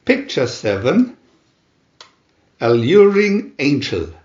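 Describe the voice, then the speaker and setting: A man reading a comic adventure booklet aloud as a dramatic narrator, announcing each part with theatrical pauses. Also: fairly high pitch, wildly swooping pitch, darkish tone, echoey room, lo-fi sound.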